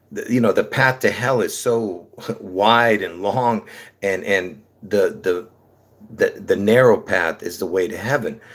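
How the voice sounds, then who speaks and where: A man's voice: on the low side, breathy edge. A man with a New York accent hosting a livestream, talking to his viewers in a rambling monologue full of fillers, restarts and repeated points, calling them folks. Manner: repetitive, restarts often, many fillers, calls listeners folks. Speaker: livestream host.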